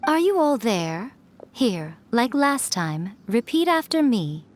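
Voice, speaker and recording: A woman's voice: a little high, big pitch swings, slow, a little mellow. A woman voicing a sly, teasing fox-spirit shrine priestess in a video game, mocking and amused.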